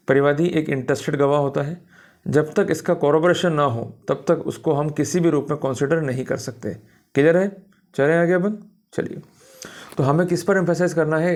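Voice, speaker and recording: An Indian man lecturing in an emphatic, didactic manner, often checking that listeners follow, as a law teacher. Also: fairly high pitch. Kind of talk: instructional law lecture in Hindi mixed with English terms.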